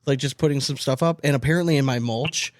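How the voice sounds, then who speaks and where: A man's voice: mid-range voice, fast talker, no echo, studio quality. A man co-hosting a podcast, telling loose, profane stories in casual banter. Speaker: podcast co-host.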